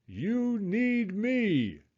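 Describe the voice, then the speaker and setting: A man's voice: high-pitched, huge pitch swings, drawn-out words, a little mellow, lo-fi sound, pitched above the performer's own voice, full character voice. A man voicing a sinister, possessive living crayon from a storybook, with a menacing, emphatic delivery. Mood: eerie, needy.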